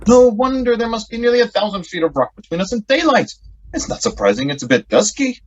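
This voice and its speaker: A man's voice: sits high, very animated, quick pace. A man playing a patient young sweetheart in a radio drama, coaxing and reassuring, on a muffled recording. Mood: soothing, cheerful. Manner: coaxing, old-fashioned endearments. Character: reassuring, patient young sweetheart keeping his companion calm. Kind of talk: stage-like radio drama acting. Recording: dull, muffled lo-fi audio.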